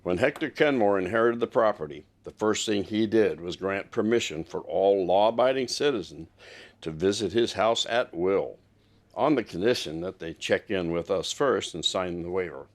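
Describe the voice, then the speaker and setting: An actor playing a courteous, helpful small-town sheriff's deputy in an audio drama, friendly and obliging. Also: male voice, sits low, animated, moderate pace.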